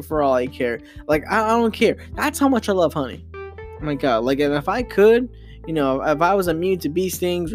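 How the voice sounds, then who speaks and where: Rambling young man, very lively pitch. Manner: rambling, excitable, stumbles and restarts often; casual slang with frequent "man" and "freaking". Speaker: podcast host. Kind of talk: solo podcast chat.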